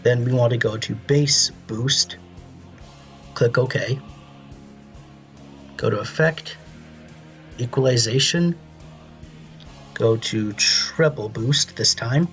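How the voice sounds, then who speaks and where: Man narrating a software tutorial, mid-pitched. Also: slow pace, pauses often, soft background music. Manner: casual, instructional. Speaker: tutorial presenter.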